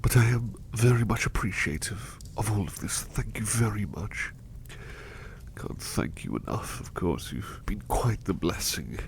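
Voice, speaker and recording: A man voicing a gentle, devoted butler in a soft ASMR roleplay, hushed, breathy, polite and hesitant, tender and apologetic. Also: on the low side, animated, measured pace, slight background noise. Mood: grateful, under the weather.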